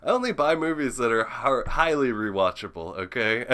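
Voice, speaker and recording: A young man hosting a casual comedy podcast, laughing and joking often, his voice still a little rough from being sick. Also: medium pitch, big pitch swings, a little slow, bright, forceful tone. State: recovering from sore throat. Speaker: podcast host.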